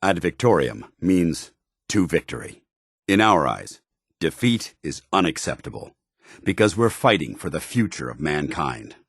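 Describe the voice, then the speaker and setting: An American man voicing a stern, earnest, duty-bound military commander in a video game, speaking in formal, measured phrases. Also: deep voice, big pitch swings, husky, no room sound, clean studio sound, full character voice. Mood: firm, proud. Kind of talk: video game companion dialogue.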